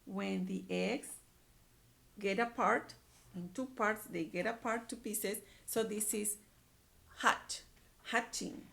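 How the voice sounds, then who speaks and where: A woman's voice: medium pitch, slow pace, vocal fry, long pauses, a bit bright. A woman teacher reading aloud to young children, using simple words, repetition and questions. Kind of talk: animated read-aloud lesson.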